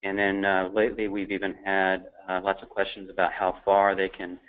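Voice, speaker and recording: A man's voice: deep voice, completely flat delivery, fairly quick. A man presenting a technical webinar, explaining things in an informal, matter-of-fact way. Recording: thin phone-like line.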